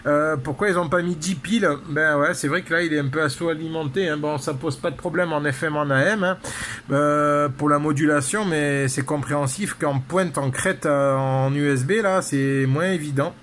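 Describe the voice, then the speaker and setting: A man's voice: a little high, moderate pace, fairly bright tone. A man talking casually and technically as an amateur radio operator, in a hesitant, rambling way full of repeated tag words. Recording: clear background noise.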